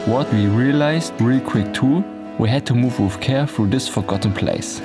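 A man's voice: mid-range voice, a little slow, over loud music. A man reading scripted voice-over narration in the manner of a documentary.

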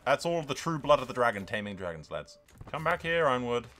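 A man's voice: mid-range voice, big pitch swings, fast talker, pushed and bright. A man hosting a gaming video, mixing dramatic role-play storytelling with sweary, casual strategy game commentary, in a theatrical manner.

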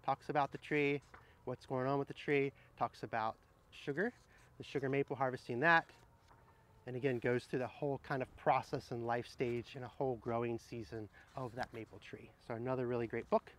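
A middle-aged man speaking outdoors, sharing picture books in a gentle, explanatory way and addressing viewers directly. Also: mid-range voice, steady tone, a little fast. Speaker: horticulturist presenting children's books. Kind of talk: picture-book read-aloud and casual book recommendations. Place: outdoors under trees in an arboretum garden.

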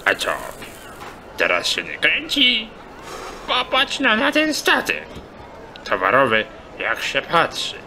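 A man's voice: on the high side, wildly swooping pitch, slow pace, pauses often, pushed and bright, slight background noise, heavily acted. An actor playing a stubborn, pious and grumbling old man in an audio drama. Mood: dismissive. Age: older.

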